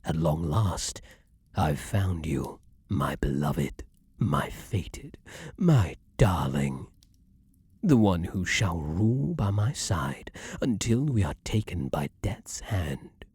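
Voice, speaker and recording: A man playing an imperious, lovesick vampire king in an intimate ASMR fantasy roleplay, speaking formally and theatrically with breathy, dramatic pauses. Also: low voice, big pitch swings, a little mellow, dry recording, clean studio sound, exaggerated voice. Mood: tender longing. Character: ardent, imperious male vampire king, lovesick then menacing.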